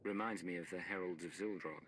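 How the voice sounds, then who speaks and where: An actor playing a resolute male hero in a game, deep and gravelly, with an authoritative manner. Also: fairly even intonation, a little fast, fairly bright tone, a little hiss or hum. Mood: calm authority.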